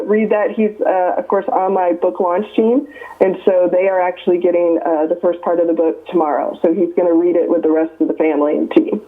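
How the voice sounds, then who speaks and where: A Midwestern American woman in her fifties, an author being interviewed, talking earnestly with many ums and restarts. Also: medium pitch. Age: 50s. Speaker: author guest. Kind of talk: casual interview over the phone. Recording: tinny phone line.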